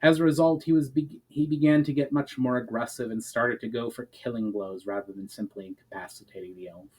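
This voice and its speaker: A man's voice: mid-range voice, steady tone, a little fast, a little mellow, husky, poor audio. Male storyteller for fantasy fiction; mid-range voice, earnest dramatic delivery, phrases that drop at the ends. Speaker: author reading own story chapter aloud.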